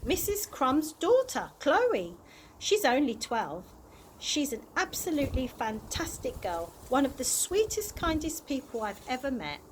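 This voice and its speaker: Higher-pitched, earnest kindly tramp, read by a woman. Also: sing-song, a little slow, a little hiss or hum, rough recording. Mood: admiring. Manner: earnest and plain-spoken. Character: frank, kindly homeless man, a dignified tramp.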